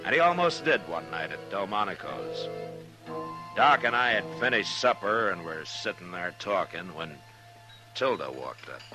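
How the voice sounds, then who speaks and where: An actor playing a calm, watchful frontier marshal in a 1950s radio western, measured and plain-spoken, with an American accent. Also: male voice, low voice, music in the background, low-quality recording. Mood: reflective, uneasy. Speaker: actor playing the lead and narrator. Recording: vintage 1950s radio recording.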